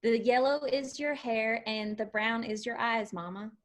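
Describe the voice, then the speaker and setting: A woman voicing an excited, adoring five-year-old farm girl in a screenplay table read. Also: fairly high pitch, measured pace, lightly acted. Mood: tender.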